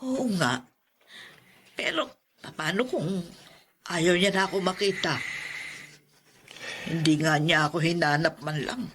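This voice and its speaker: A woman's voice: on the low side, measured pace, pauses often, fairly bright tone, slight background noise. A woman playing an older aunt in an audio drama, hurt and reproachful yet loving, plaintive and emotional. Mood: wounded, hesitant. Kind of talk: melodramatic radio-style drama acting.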